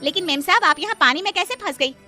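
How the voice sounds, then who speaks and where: Cartoon poor maid wife, anxious and dutiful, high pleading voice, voiced by a woman. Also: expressive, quick pace, bright, forceful tone, soft background music, full character voice. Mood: surprised. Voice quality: pleading, fretful. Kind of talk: Hindi cartoon voice acting.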